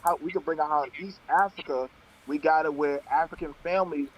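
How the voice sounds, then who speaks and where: A man's voice: on the high side, fairly even intonation, fast talker, a little hiss or hum, low-quality recording. A man on a panel, confrontational and needling, using casual slang in a heated debate.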